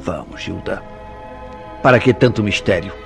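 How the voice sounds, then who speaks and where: A man's voice: fairly low pitch, moderate pace, gravelly. A man with a Brazilian accent playing a jealous, suspicious husband in a radio soap opera, insistent and accusatory in delivery. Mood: suspicious, pressing. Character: jealous, suspicious husband interrogating his wife. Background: music behind the voice.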